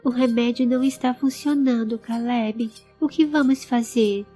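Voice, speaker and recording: A woman's voice: on the high side, fairly even intonation, moderate pace, warm tone, faint music behind, lightly acted. A woman voicing an anxious, pleading young male companion in an audio drama. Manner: urgent, distressed, pleading. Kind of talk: audio drama character acting.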